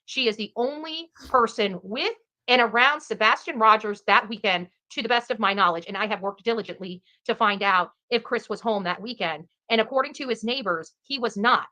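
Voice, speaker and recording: An online commentator, a woman with a bright, forceful voice that sits fairly high. She speaks in a casual livestream style, leaning on emphatic, repeated phrases to make herself clear. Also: moderate pace, hardly any pauses, poor audio. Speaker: online true crime commentator.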